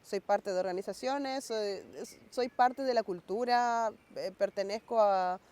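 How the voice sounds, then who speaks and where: Woman who grew up in norway, mellow voice, talking things through slowly in an interview. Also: mid-range voice. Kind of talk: documentary interview, reflecting on identity.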